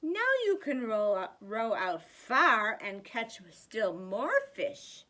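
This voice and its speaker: A woman's voice: a little high, sing-song, takes it slowly, sharp tone, exaggerated voice. An older woman voicing a cheerful, enterprising wife in a children's storybook, speaking with persuasive reassurance.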